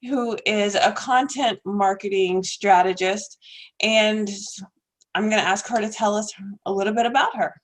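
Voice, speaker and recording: A woman's voice: mid-range voice, steady tone, measured pace. A woman hosting a podcast, enthusiastic, laughing mid-sentence and saying "like" often. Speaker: podcast host. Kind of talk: casual interview chat.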